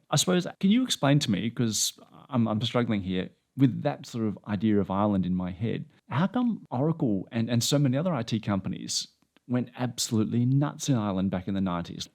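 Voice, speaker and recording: A man's voice: lowish voice, a little mellow. A man hosting an interview, chatting casually and rambling through anecdotes. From Australia. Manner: rambling, anecdotal, self-deprecating. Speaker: podcast host.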